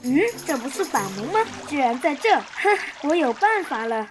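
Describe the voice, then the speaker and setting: High-voiced scheming little predator dinosaur. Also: sing-song, normal speed, over loud music. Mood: sly. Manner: boastful, plotting. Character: scheming young predatory dinosaur, vengeful, cocky ambusher. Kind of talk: animated cartoon character acting.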